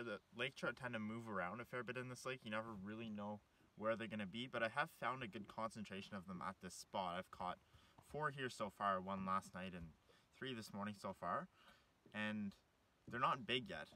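A man's voice: fairly low pitch, moderate pace. A young man chatting casually to camera as he films himself fishing from a boat on a lake. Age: young adult. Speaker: angler filming his fishing trip. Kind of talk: casual vlog chat to camera. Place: outdoors on a small motorboat on a lake. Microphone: action camera mounted on the boat, some distance away. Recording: distant mic, loud boat and water noise.

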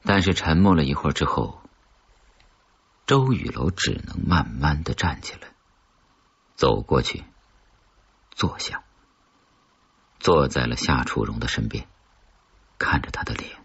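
Low, very husky man narrating suspense. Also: very animated, unhurried, long pauses, fairly bright tone, dull audio. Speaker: audiobook narrator. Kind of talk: scripted suspense story narration.

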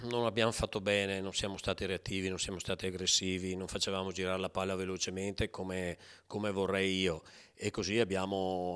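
A middle-aged man, a coach, giving a measured post-match interview into a handheld mic. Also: deep voice, a bit bright. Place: press backdrop after a match. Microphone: handheld mic held by interviewer.

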